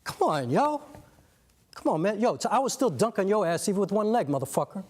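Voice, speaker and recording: Saint: man doing a loud, slangy, big-hearted friend, high-pitched voice. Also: very animated, fairly quick. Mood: boisterous. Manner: slangy street talk, toasting.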